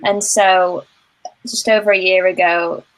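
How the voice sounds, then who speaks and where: A woman's voice: lowish voice, steady tone, unhurried. A young woman with a northern English accent chats easily as an interview guest. She laughs often, and her voice carries the slight distance of a video call. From northern England. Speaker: guest, procrastination coach. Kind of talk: casual interview.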